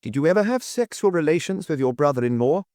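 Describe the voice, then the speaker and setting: A man's voice: a little high, very animated, fast, a little mellow, no room sound, studio quality, pitched above the performer's own voice. A man voicing a solemn examining magistrate in an audiobook, putting short, pointed, formal questions. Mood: insistent.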